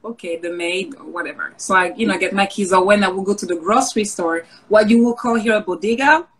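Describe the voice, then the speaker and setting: A woman's voice: medium pitch, a little fast, poor audio. A woman who grew up in France, speaks casually and reflectively as an interview guest over a video call. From Paris suburbs, France.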